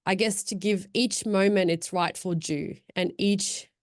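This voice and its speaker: A woman's voice: mid-range voice, fairly even intonation, a little slow, no echo. Reflective young woman, dry close sound. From Afghan, born in Pakistan, raised in Australia. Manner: thoughtful, rambling, many fillers and restarts. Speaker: podcast guest. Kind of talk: casual reflective chat.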